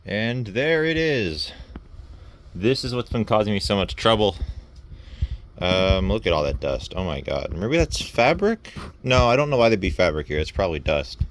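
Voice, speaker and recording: A man's voice: fairly low pitch, very animated, moderate pace. A man talking casually to himself as he works on a hands-on repair, with dry, self-deprecating humour. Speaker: hobbyist narrating a repair. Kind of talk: casual vlog chat while working.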